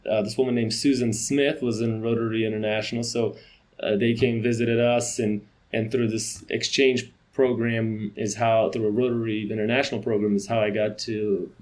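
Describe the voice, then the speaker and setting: A man from Romania, a company CEO in his thirties, talking as a guest in a casual podcast interview, quick and full of restarts. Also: lowish voice, flat delivery, a little mellow.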